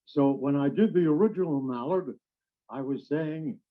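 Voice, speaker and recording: An older man, a veteran radio actor, telling anecdotes in a halting way with long pauses. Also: a little high. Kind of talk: reminiscing, anecdotal talk. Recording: muffled, narrow phone-like archive recording.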